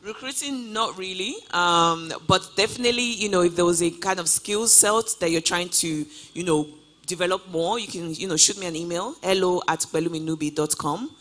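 A woman's voice: fairly low pitch, fairly quick, fairly bright tone. A woman in her twenties answering interview questions on a stage, upbeat and casual, full of "you know" fillers, speaking into a handheld mic in a large auditorium. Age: 20s. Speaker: guest traveler.

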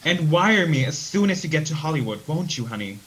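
A man's voice: on the high side, sing-song, brisk, a bit bright, low-quality recording. An actor playing a worried, tender father in a radio play, pleading and affectionate. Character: worried, tender father seeing off his grown child.

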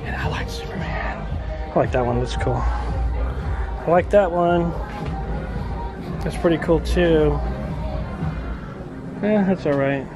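A man's voice: fairly high pitch, lively intonation, takes it slowly, pauses often, rough recording. A man from Texas in his forties, vlogging casually on a camera held at arm's length, chatty and goofy and whooping on the rides. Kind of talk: casual theme park vlog. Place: outdoor theme park and gift shops. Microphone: handheld camera at arm's length. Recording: loud crowd and music behind. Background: crowd and park music.

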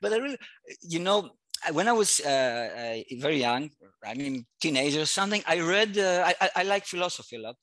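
A man in his forties, a Greek native speaker, joins a video interview from a room at home through a headset mic. He keeps pausing, restarting and hunting for words, with a lot of fillers. The sound is dry and close. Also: fairly high pitch, animated, a bit bright, no room sound. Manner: halting, searching for words with many fillers and restarts. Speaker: guest artist. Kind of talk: casual interview conversation. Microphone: headset on video call.

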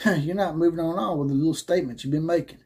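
A man's voice: a little high, racing, a little mellow, breathy edge. A man in his thirties hosting a college football commentary channel, ranting to camera in a combative, sarcastic way with mocking laughs, filmed indoors at a desk camera. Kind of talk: opinionated rant to camera. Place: indoor room with caps hung on the wall. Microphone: camera at desk framing head and shoulders.

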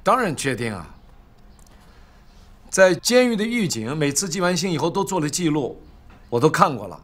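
Earnest, higher-voiced prosecution officer. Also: male voice, very animated, normal speed, a bit bright. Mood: firm, earnest. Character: earnest male prosecution officer, firm and certain. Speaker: actor in a film. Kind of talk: film drama dialogue.

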